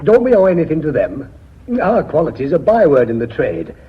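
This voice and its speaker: Husky, high-pitched plain-spoken Englishman. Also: very animated, a little fast, exaggerated voice. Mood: earnest. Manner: plain, homely, earnest. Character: plain-spoken, hesitant works man on the board. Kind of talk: BBC radio drama acting. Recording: dull old radio recording.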